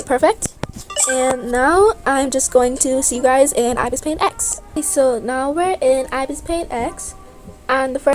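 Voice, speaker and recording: A woman's voice: high-pitched, darkish tone. A young woman giving a casual step-by-step tutorial voice-over as the host of her own video. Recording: voice over background music. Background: background music.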